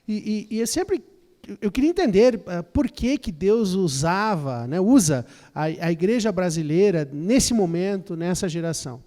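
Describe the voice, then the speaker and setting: A man's voice: high voice, lively intonation, normal speed, dark tone. A man interpreting a lecture phrase by phrase, often starting with fillers and repeated words. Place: lecture hall. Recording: long hall reverb.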